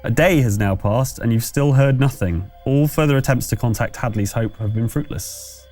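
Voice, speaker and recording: A man runs a tabletop roleplaying game as its game master. His mid-pitched voice sets the scene like a conversational storyteller, with music playing behind him. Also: moderate pace, darkish tone.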